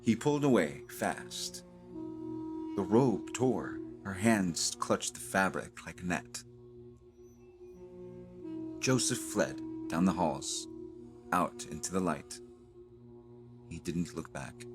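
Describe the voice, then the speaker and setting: A man narrating a cinematic audio drama in a solemn, dramatic manner with deliberate pauses. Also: low voice, animated, music in the background. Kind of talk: slow cinematic scripted narration.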